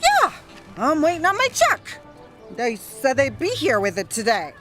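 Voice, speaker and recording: Slow, wildly swooping tipsy 1930s wife. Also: female voice, high-pitched, huge pitch swings, over music, exaggerated voice. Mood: indignant ranting. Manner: rambling, sardonic, muttering to outbursts. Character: Ernie Pyle's drunk, ranting, defiant, snobby 1930s wife. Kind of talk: 1930s period radio drama acting.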